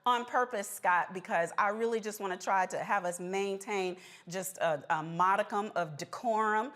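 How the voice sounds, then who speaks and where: A woman's voice: mid-range voice, moderate pace, a bit bright. A woman hosting a live panel talk show, interviewing in a conversational, probing way. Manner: conversational, probing, with follow-up questions.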